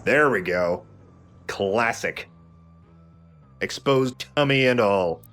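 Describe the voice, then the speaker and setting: A man's voice: fairly low pitch, expressive, slow pace, fairly bright tone. A man co-hosting a let's play with casual, informal commentary, explaining things and joking along. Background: game music.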